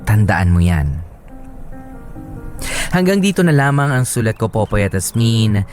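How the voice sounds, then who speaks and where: Low-voiced remorseful husband. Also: male voice, very animated, a little slow, a little mellow. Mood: earnest advice. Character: remorseful former womanizer husband narrating his letter. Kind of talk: dramatized letter narration, radio drama. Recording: music behind the voice.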